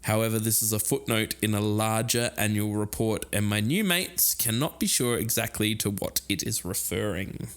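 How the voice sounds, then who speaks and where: A man's voice: on the low side, normal speed, few pauses. A man co-hosting an investigative podcast, explaining research in a partly casual, chatty style. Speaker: podcast co-host.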